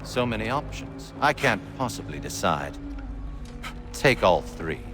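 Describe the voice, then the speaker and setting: Silky villain, mocking, theatrical, lively lilt, music behind. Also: male voice, on the low side, unhurried. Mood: mocking menace. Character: cruel, smug aristocratic male villain, middle-aged. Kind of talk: video game cutscene acting.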